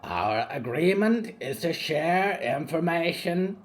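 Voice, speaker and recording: A male narrator voicing a curious, gossipy raven-demon that croaks and talks about itself in the third person. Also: on the high side, sing-song, slow, voice pushed up. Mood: insistent. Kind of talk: audiobook character voice.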